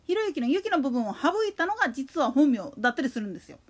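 A woman's voice: high voice, big pitch swings, fast talker. A woman hosting a solo podcast, talking casually in chatty, slangy, colloquial speech. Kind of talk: casual monologue about a radio announcer.

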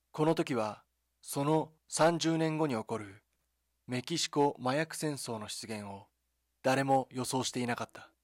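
A man delivering scripted dramatic documentary narration in a measured, solemn storytelling manner, with short deliberate phrases. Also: mid-range voice, no room sound, studio quality. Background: background music.

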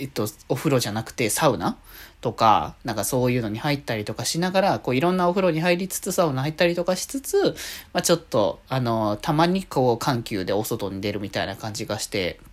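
Animated man chatting as a podcast host. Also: medium pitch. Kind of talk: casual solo radio-style talk.

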